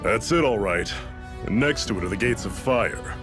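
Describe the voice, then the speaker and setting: Man playing a gruff, wry rebel leader. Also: lowish voice, animated, normal speed, over music, lightly acted. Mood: resolute. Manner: wry, blunt. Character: world-weary, gruff male rebel leader, knowing mentor. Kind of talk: video game cutscene acting.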